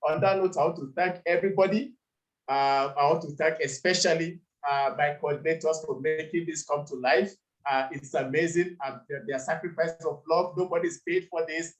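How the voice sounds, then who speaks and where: A man's voice: on the high side, moderate pace, poor audio. A man from Nigeria, founder of a campaign, giving an earnest closing speech on a video call with some hesitations. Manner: earnest, grateful, hesitant with fillers.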